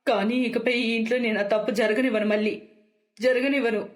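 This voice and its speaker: A woman's voice: on the high side, steady tone, fairly quick, natural voice. Woman playing a solemn, resolute old mother.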